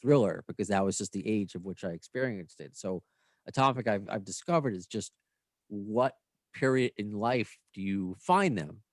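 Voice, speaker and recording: A man's voice: lowish voice, lively intonation, moderate pace, no echo. Rambling man in his late thirties. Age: 30s. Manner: rambling, restarts his sentences. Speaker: podcast host. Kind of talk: casual podcast chat.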